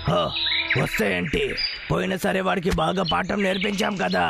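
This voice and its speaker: A man voicing a bold, clever big-brother bear in a cartoon dub, gravelly and reassuring. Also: high-pitched, steady tone, normal speed. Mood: confident. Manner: confident, reassuring, bossy. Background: loud music.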